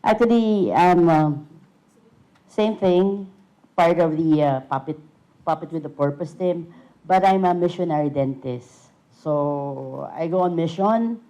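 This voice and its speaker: A woman with a lowish, mellow voice speaks haltingly and pauses to search for words. She gives a casual talk as a missionary dentist. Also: poor audio. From Philippines. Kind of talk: casual presentation.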